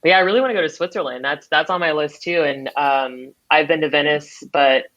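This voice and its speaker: Bright chatty woman host, lo-fi sound. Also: low-pitched, a little fast. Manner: chatty, upbeat, lots of fillers and laughs. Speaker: podcast host. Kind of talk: casual interview chat. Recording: dull lo-fi call audio.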